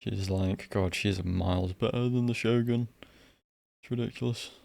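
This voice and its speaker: Deep, flat-voiced man streaming a game. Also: gravelly, dry recording. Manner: blunt, deadpan, halting. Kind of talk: casual live gameplay commentary.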